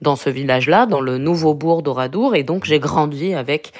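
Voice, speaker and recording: A woman's voice: deep voice, normal speed. A young woman from Limousin with a Limousin accent, speaking as a radio interview guest, hesitant and full of fillers and restarts. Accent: Limousin French. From Limousin, France. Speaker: guest, granddaughter of a massacre survivor.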